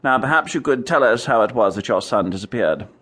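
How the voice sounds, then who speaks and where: A man's voice: medium pitch, animated, brisk, fairly bright tone. A man playing a curt, commanding investigator in a radio drama, asking clipped, formal questions. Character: ghost hunter Jarrem Lee, curt and commanding.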